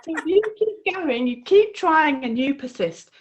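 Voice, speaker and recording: A woman reading her children's storybook aloud in an expressive, encouraging way. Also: high voice, a little slow, muffled recording. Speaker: author reading her own book.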